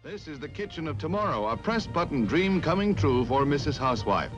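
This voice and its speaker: Loud music runs under a fairly high-voiced American man narrating a 1950s newsreel. He delivers his script as a dramatic announcer, on a vintage film soundtrack. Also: big pitch swings, fairly quick, lo-fi sound.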